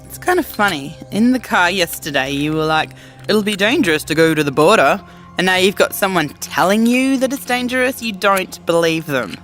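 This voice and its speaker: Woman as a low-voiced, conscientious survivor. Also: expressive, moderate pace, a bit bright, soft background music. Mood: teasing, thoughtful. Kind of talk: naturalistic audio drama dialogue.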